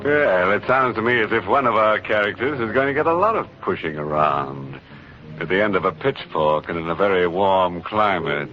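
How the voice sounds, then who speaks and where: A man's voice: deep voice, lively intonation, normal speed, faint music behind. A man hosting a 1940s radio horror show in a droll, mock-sinister drawl. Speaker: host. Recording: muffled 1940s radio recording.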